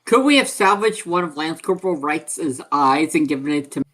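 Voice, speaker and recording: A man's voice: on the high side, animated, normal speed, fairly bright tone. Rambling man chatting at a game table. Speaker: player in a tabletop roleplaying game. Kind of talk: casual table chat.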